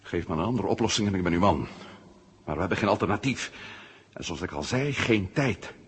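A man's voice: sits low, lively intonation, fairly quick, breathy edge, exaggerated voice. A man acting a resourceful, decisive astronaut hero in a 1970 Dutch radio drama. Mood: urgent, persuasive. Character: resourceful male astronaut hero, decisive captive planning escape.

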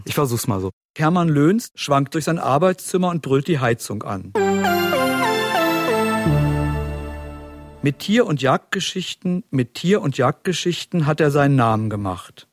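An actor playing an intense narrator in an audio drama, declaiming grimly and repeating phrases insistently. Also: male voice, fairly high pitch, very animated, moderate pace, hardly any pauses, loud music behind the voice. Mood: declamatory. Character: intense male narrator, chanting and repeating grim war phrases.

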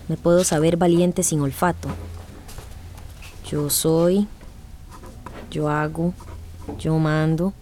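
A young adult daughter in a radio soap opera, guarded and withdrawn. Her Costa Rican voice sits on the low side, with slight background noise on the recording. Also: warm tone. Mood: brooding, poetic.

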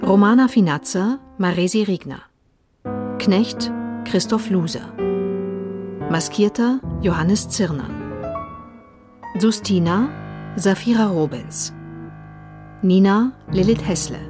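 Female announcer, very unhurried, formal scripted credits, middle-pitched voice, piano music behind. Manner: measured, formal.

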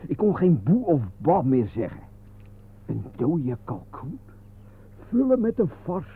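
An actor playing a fussy, self-pitying gluttonous office worker, melodramatic and lamenting, in a radio play. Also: male voice, on the high side, big pitch swings, measured pace, husky, full character voice. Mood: queasy, self-pitying. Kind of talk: absurdist radio play acting. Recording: narrow, phone-like old radio recording.

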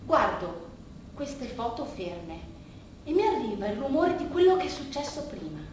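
A woman performing a colloquial, bittersweet theatrical monologue, telling it in a halting, conversational way with hushed asides. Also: high voice, big pitch swings. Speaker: monologue actress and narrator. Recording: very roomy, distant stage recording, faint noise.

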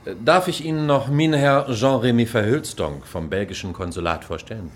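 An actor playing a formal, businesslike official taking charge in a radio crime drama. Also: male voice, mid-range voice, slight background noise, natural voice. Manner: formal, measured. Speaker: senior official.

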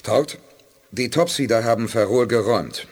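A man playing a determined, persuasive space commander in a radio play, insistent, with a husky voice. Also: mid-range voice, moderate pace, background noise, natural voice.

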